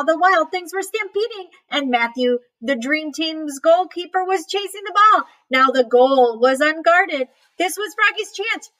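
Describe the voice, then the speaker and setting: Slow, high-voiced woman reading to children. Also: fairly bright tone, poor audio. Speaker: read-aloud storyteller, teacher. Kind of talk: children's picture book read-aloud.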